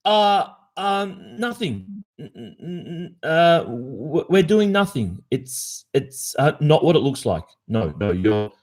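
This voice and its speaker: A man's voice: a little high, sing-song, slow, hardly any pauses, a little mellow, heavily acted. A man with an Australian accent acting a cheating, casually evasive young boyfriend in an ASMR roleplay, speaking conversationally with hesitations. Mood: panicked, pleading. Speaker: roleplay performer.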